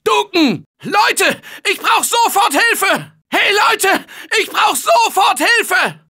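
A man voicing an earnest, panicky office guy surviving a zombie apocalypse in a video game, husky and emphatic in colloquial short barks. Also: extremely high pitch, very animated, slow, pushed and bright, shouting, exaggerated voice. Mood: urgent shouting.

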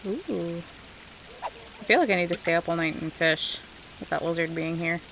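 A woman's voice: on the low side, moderate pace, fairly bright tone, a little hiss or hum, low-quality recording. A woman giving casual, chatty gameplay commentary as host, often talking aloud to the game's characters. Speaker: gaming video host.

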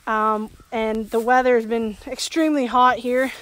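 A woman's voice: fairly high pitch, moderate pace. A woman in her thirties giving a casual, chatty tour of her vegetable garden, with lots of ums, outdoors on a phone held at arm's length. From Canada. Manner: relaxed and chatty, with many ums. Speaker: homesteader vlogger.

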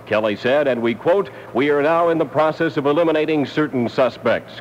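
An American man reading the news formally as a 1970 AM radio newscaster. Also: medium pitch. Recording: muffled, noisy 1970 AM air check.

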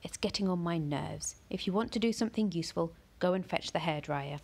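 A woman voicing a stern, impatient mother, scolding slowly. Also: on the low side, darkish tone, husky.

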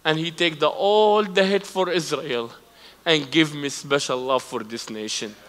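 A man in his forties with an Arabic accent speaks in a high, bright voice, telling his story from a church stage. His English is broken, and he acts out both sides of remembered conversations. The sound rings with long hall reverb. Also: measured pace. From Palestinian, raised in Lebanon. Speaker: guest speaker. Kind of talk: testimony preached on stage. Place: church stage with live audience.

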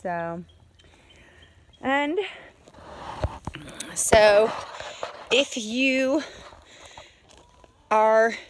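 A woman in her forties giving a casual, halting homestead video diary outdoors, talking into a phone at arm's length. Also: on the high side, expressive, noisy background. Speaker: homesteader vlogger. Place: outdoors on a farm. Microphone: phone held at arm's length.

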